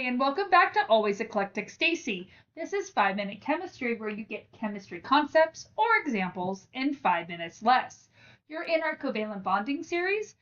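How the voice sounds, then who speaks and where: A woman's voice: high-pitched, fairly bright tone, distant mic, highs cut off. A woman teacher giving an informal step-by-step lesson, speaking directly and encouragingly to the learner. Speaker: teacher and channel host.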